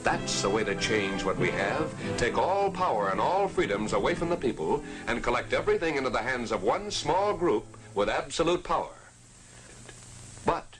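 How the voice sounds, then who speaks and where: A man reading scripted narration for a 1940s propaganda film in an earnest, declamatory way. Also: medium pitch. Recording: old film soundtrack with music.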